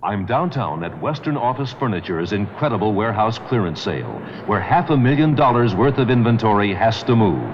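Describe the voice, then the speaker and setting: A man's voice: on the low side, very animated. A man reading a 1970s radio commercial like a salesman reeling off bargains. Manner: brisk salesman listing bargains. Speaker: radio ad announcer. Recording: lo-fi radio broadcast with loud noise.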